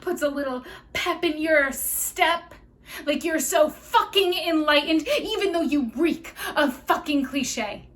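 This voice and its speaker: A woman's voice: high-pitched, slow pace, a bit bright. A woman acting a scathing, sarcastic, self-righteous young woman in a theatrical monologue, with biting mockery and emphatic stresses. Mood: accusing, scathing.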